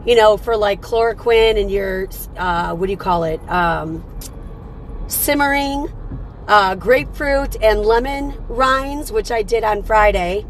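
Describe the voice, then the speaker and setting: A woman hosting a casual morning livestream, chatty and upbeat, her voice slightly raspy from a cold. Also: mid-range voice, measured pace, slight background noise. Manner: upbeat, chatty, greets viewers by name. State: fighting off a cold. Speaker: livestream host.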